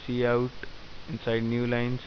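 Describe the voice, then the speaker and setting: Man, coding tutor, methodical step-by-step explanation, monotone, lo-fi recording. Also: medium pitch, unhurried. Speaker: programming tutor. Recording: dull lo-fi sound with faint hiss.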